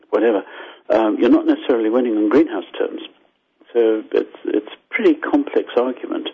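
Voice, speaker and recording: A man's voice: on the low side, slightly rough, dull audio. A man from Zimbabwe with a Zimbabwean accent, a scientist guest answering interview questions in an explanatory way with fillers and restarts.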